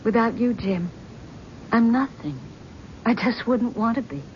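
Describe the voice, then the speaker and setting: An actress playing a devoted, wounded wife in a 1970s radio drama, tender and pleading. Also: female voice, a little high, lively intonation, measured pace. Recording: dull old radio broadcast with faint hiss.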